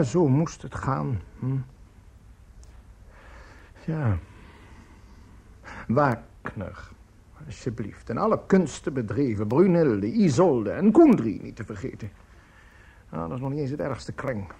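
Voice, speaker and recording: An actor playing a bitter, world-weary older father in a theatrical radio drama, with a gravelly, husky voice and a ponderous manner. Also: mid-range voice, very animated, slow pace, pauses often, dark tone, full character voice.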